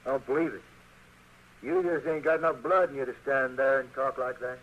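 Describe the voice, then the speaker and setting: A man's voice: medium pitch, brisk, a bit bright, dull audio, close to an everyday voice. An actor playing a cold, menacing American gunman who taunts and threatens, in an old-time radio western. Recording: old radio recording, faint noise.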